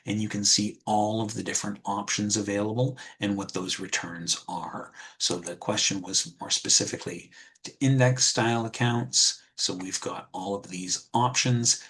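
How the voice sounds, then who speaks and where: A man giving an online presentation as an insurance wholesaler, calm and measured, often pausing with ums. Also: lowish voice, a little hiss or hum. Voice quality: husky, soft. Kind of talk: webinar presentation with screen share.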